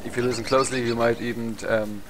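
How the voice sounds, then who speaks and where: A man's voice: medium pitch, flat delivery, quick pace. A young man with a German accent, a non-native English speaker, casually commentating his gameplay, rambling, swearing and laughing at himself. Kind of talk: casual let's play commentary. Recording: game music behind the voice.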